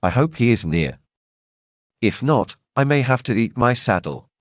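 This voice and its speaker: A man voicing a blustering, hungry male messenger of the king, gruff and impatient, in a storybook reading. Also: mid-range voice, very animated, measured pace, no echo, studio quality. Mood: hungry, pressing.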